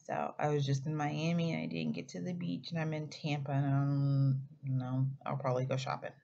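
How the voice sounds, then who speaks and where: A woman's voice: sits low, flat delivery, moderate pace, creaky, mellow. A woman in her thirties from Oklahoma, chatting casually to camera as a flight attendant vlogger, rambling and often correcting herself mid-sentence. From Oklahoma, United States. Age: 30s. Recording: slightly muffled with faint background hiss.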